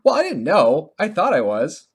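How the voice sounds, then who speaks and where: A man co-hosting a podcast, talking casually about video games in a halting way, often restarting his sentences and leaning on filler words. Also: a little high, wildly swooping pitch, breathy edge. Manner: halting, self-correcting, heavy use of "like". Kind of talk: casual conversational game review chat.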